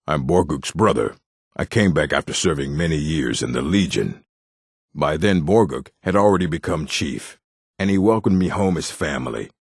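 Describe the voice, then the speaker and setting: An actor voicing a proud, gruff orc warrior in a fantasy video game, gravelly and husky, with a stern, blunt delivery. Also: male voice, extremely low pitch, no echo, studio quality, full character voice. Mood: solemn.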